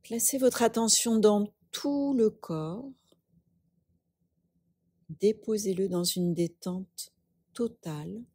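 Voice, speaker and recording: Slow, breathy woman guiding meditation. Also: medium pitch, no room sound. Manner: calm, unhurried, instructing in short phrases with long pauses. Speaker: yoga nidra teacher. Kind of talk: guided meditation.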